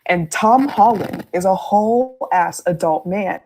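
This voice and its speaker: A woman's voice: medium pitch, measured pace, a little mellow, rough recording. A woman co-hosting a casual podcast chat, candid and slangy with a wry edge.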